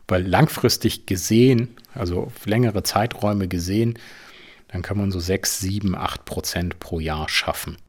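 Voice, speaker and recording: A man speaking as a financial expert in an informal, explanatory interview, often pausing on filler sounds. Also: sits low.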